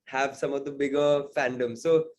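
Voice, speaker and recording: A man's voice: medium pitch, fairly even intonation, moderate pace, a little mellow. A man being interviewed as a singer-songwriter, talking casually and thoughtfully, hedging often with "sort of" and "I think".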